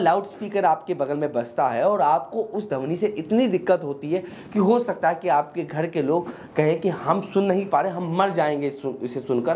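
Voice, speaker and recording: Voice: medium pitch, darkish tone. A news presenter explains to viewers in a didactic way, posing questions and answering them. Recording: clearly noisy background.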